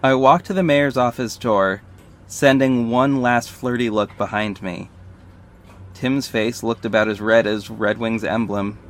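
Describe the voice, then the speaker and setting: A man's voice: mid-range voice, fairly even intonation, unhurried, natural voice. A man playing a confident, flirty detective and secret vigilante, narrating an audio drama in the first person, conversational and confiding. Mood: charming, flirty. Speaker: narrator and lead character. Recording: faint background noise.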